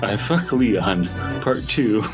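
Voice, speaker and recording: A man's voice: fairly low pitch, expressive, takes it slowly, over music. A man co-hosting a podcast in casual, joking banter. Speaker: podcast co-host.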